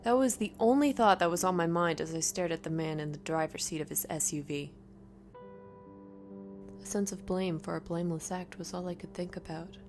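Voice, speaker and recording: A woman narrating a scripted first-person horror story in a dramatic, expressive way. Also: lowish voice, a little fast, soft background music.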